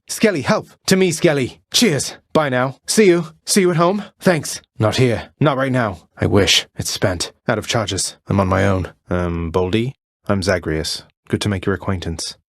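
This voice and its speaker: An actor playing a wry young prince of the underworld in a video game, courteous with a dry, understated sarcasm. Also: male voice, low-pitched, no room sound. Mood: urgent calling, then cheery, then wry, friendly. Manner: dry, understated, politely sardonic. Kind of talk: video game character voice lines.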